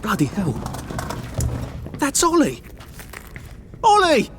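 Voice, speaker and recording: A man's voice: extremely high pitch, huge pitch swings, slow, music in the background, full character voice. An actor with a British accent playing an anxious, pleading Englishman with a sardonic, defiant streak in a video game. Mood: pleading, alarmed. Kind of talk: video game character acting.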